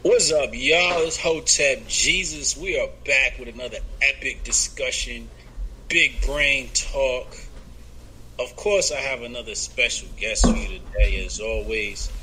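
A man hosts a podcast in a relaxed, slangy way, from New Jersey. His voice is sharp and bright, with faint noise behind him. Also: mid-range voice, unhurried, poor audio. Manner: laid-back, filler-heavy slang. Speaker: podcast host. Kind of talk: casual chat.

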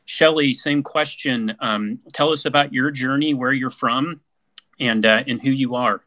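Male host, upbeat, bright and forward, dry close sound. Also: mid-range voice, unhurried, dry recording. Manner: upbeat, repeats praise words. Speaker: podcast host. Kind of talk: hosting an online panel forum.

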